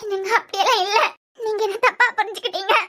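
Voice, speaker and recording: A woman voicing a tearful young wife who pleads softly. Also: extremely high pitch, steady tone, quick pace, voice pushed up. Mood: pleading.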